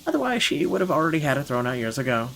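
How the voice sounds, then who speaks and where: A man reading a visual novel aloud as a wealthy, irritable gentleman, expressive and indignant in his narration. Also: a little high, rapid-fire, gravelly, slight background noise, full character voice. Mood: annoyed, wry. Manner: indignant, expressive, stumbles over the script. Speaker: gaming channel host.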